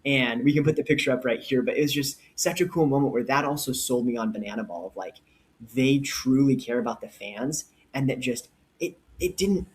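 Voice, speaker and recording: A man's voice: mid-range voice, brisk. Eager male podcaster telling a story. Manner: enthusiastic storyteller. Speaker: podcast co-host.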